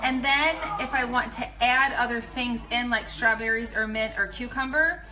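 Woman, 30s, pregnant, chatty and rambling, slightly high voice, home kitchen, phone mic. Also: moderate pace, poor audio. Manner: chatty, rambling, animated asides. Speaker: nutrition coach. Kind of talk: casual live video tips. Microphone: phone at arm's length.

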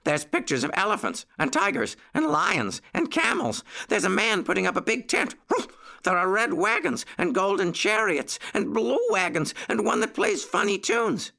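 A man voicing excitable little puppy boys in a children's story, eager and pleading with barking exclamations. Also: high-pitched, moderate pace, gravelly, pitched above the performer's own voice, full character voice. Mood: excited.

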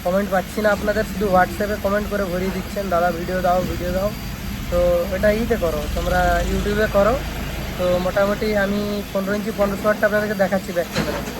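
A man with a West Bengal Bengali accent talks quickly in a high voice, like a shop owner showing off goods on his channel. He stresses and repeats his points, often checking that viewers follow. The phone recording has a noticeable hum and hiss behind him. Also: fairly even intonation. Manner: repetitive, emphatic sales talk with frequent check-in tags. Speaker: speaker repair shop owner and video host. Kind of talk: casual product showcase vlog. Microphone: phone camera. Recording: noisy, engine-like hum and hiss.

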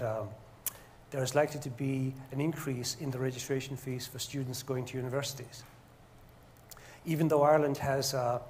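Older male panelist, medium pitch, measured and hesitant, slight background noise. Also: a little mellow. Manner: deliberate, hesitant with frequent pauses. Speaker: panelist, engineer. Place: stage of a lecture hall, indoors. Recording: faint noise in a hall.

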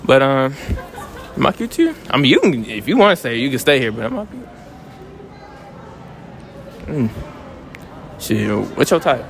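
A man's voice: mid-range voice, huge pitch swings, normal speed, long pauses. A young man hosting casual street interviews outdoors, joking and teasing in slangy talk.

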